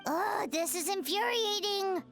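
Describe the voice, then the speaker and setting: A woman voicing a small, excitable childlike game companion with a squeaky, dramatic delivery. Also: a little slow, soft background music, full character voice. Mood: frustrated. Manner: exclamatory, dramatic. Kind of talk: video game voice acting.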